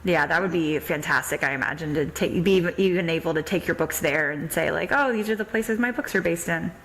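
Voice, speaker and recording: A woman with a slightly low voice hosting a podcast. She talks casually, in the manner of an interviewer chatting with a guest. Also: brisk, fairly bright tone, a little hiss or hum, poor audio.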